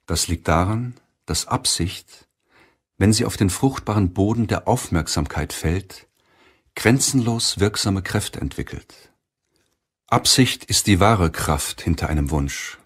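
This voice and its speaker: A man reading an audiobook as scripted narration in a measured, deliberate way. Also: fairly low pitch, slightly rough.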